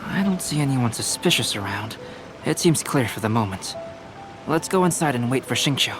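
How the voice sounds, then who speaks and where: A calm, composed young alchemist, played by a man who reasons things through in a measured, polite way. His voice sits at an ordinary middle pitch. Game music plays under his lines. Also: lightly acted. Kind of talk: video game dialogue.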